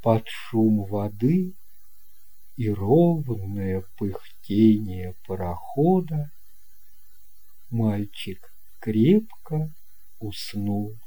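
A man narrating a scripted children's tale as an audiobook reader, in deliberate, measured phrases. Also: on the low side, sing-song, dark tone, slightly rough.

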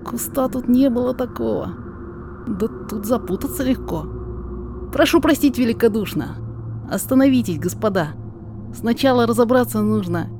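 A woman's voice: a little high, normal speed, noisy background, pitched above the performer's own voice, natural voice. A woman voicing a flustered, apologetic male guide, anxious and polite, in a verse audio story. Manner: agitated, deferential, emphatic. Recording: music behind the voice.

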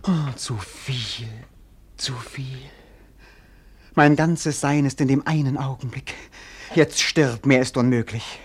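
Lyrical, rapturous young prince. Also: male voice, medium pitch, slow, husky. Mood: ecstatic. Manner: lyrical, solemn declamation. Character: melancholy, romantic young prince, rapturous and death-enamoured. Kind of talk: classic German radio play acting.

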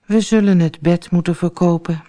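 A woman's voice: lowish voice, fairly even intonation, moderate pace, dark tone, lightly acted. A woman voicing a gloomy, worried old husband in a storybook fairy tale, anxious and resigned. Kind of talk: storybook character voice.

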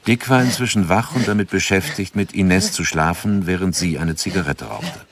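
A male narrator with a deep voice delivers a radio drama script in a calm, measured way. The delivery stays even and controlled. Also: noisy background.

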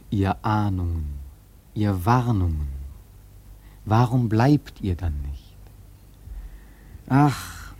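A brooding sailor narrates a sea voyage for a radio drama. His voice is low, dark and husky. He tells it in a reflective, literary way with a sense of foreboding. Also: heavily acted. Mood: musing foreboding. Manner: ominous, reflective, measured.

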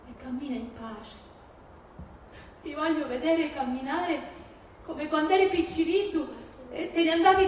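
A woman's voice: very high voice, a bit bright. A woman acting a fierce, grieving older Sicilian mother in an emphatic, plaintive stage monologue, with a Sicilian accent. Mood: desperate urging. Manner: emphatic, plaintive, repeating phrases. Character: fierce, grieving Sicilian mother disowning her criminal son. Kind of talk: dramatic theatrical monologue on stage. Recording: very echoey hall from far, faint noise.

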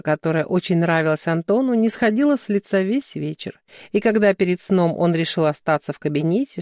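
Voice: medium pitch, a little mellow, dry recording, clean studio sound. A narrator reading scripted fiction. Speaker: audiobook narrator.

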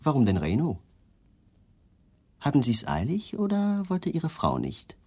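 Probing police detective with lively pitch. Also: male voice, a little slow, exaggerated voice. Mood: probing, insistent. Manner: insistent, pointed questioning. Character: persistent, probing male police detective, coolly polite and suspicious. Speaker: actor in a radio crime drama. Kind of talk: 1980s German radio play acting, interrogation dialogue.

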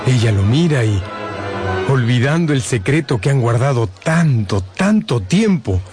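Man, radio drama narrator, medium pitch, expressive, deliberate storytelling, clear music behind.

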